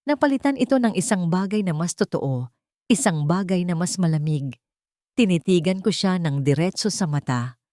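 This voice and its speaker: A woman narrating a scripted first-person drama in a measured, theatrical way. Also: fairly low pitch, lively intonation, warm tone, no room sound, clean studio sound.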